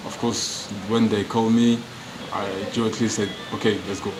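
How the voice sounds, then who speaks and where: A man from France answering press questions in halting, searching English, restarting his sentences often. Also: fairly low pitch, level tone, noisy background. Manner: halting non-native English, frequent restarts. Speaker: professional footballer. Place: media room.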